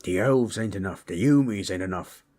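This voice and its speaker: A man voicing a gentle, proud orc warrior in an intimate audio roleplay, telling tales in a folksy, rough-hewn, husky way. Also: fairly low pitch, moderate pace, lightly acted. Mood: earnest, resolute. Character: gentle, proud male orc warrior storyteller, affectionate, teasing. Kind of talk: intimate comfort roleplay storytelling.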